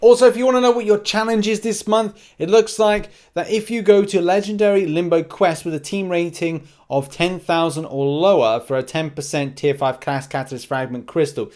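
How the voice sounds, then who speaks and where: A man with a slightly high-pitched voice hosts a gaming update. He speaks casually and off the cuff, rambling a little as he thinks aloud. His commentary often breaks into short, clipped asides. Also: steady tone, moderate pace, hardly any pauses, darkish tone. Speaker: gaming video host. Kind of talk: casual unscripted game news commentary.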